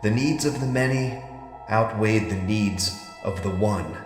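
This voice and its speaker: A man playing an ageing, regretful scientist in an audio drama monologue, speaking formally and broodingly with heavy pauses. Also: fairly low pitch, lightly acted. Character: ageing, regretful scientist fighting off an alien conditioning in his mind. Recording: echoey reverberant hall with music underneath. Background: music.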